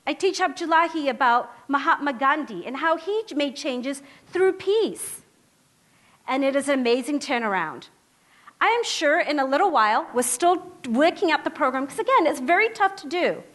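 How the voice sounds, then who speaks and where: A woman public defender giving a talk, telling a story in an animated, conversational way and acting out the people she quotes. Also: sits high, moderate pace. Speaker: public defender and symposium speaker. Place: lecture stage before a live audience.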